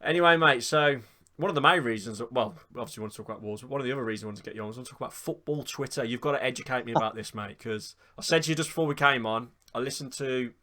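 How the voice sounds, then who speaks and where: A man hosts a relaxed football podcast. He talks quickly and loosely in a chatty flow, with the odd casual swear. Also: medium pitch. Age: 20s. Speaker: podcast host.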